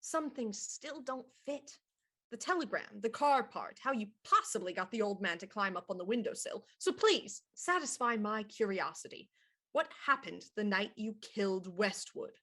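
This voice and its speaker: A woman's voice: medium pitch, lively intonation, moderate pace, fairly bright tone. A woman playing a shrewd, probing police inspector in a radio murder mystery, pointed and theatrical. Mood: coolly probing.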